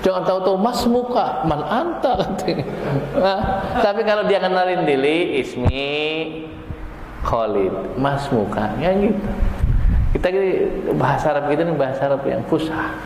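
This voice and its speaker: Javanese-accented man teaching in a booming, echoey hall. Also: expressive, moderate pace. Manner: colloquial, jokey, acting out short phrase exchanges. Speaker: Arabic language teacher. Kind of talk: informal classroom lesson with example dialogues. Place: large echoey room, teaching a live class. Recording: very echoey reverberant hall, clear background noise.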